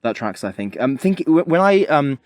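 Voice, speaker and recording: A man's voice: mid-range voice, big pitch swings, normal speed, no room sound, studio quality. A man podcast host giving a casual but argumentative, analytical critique.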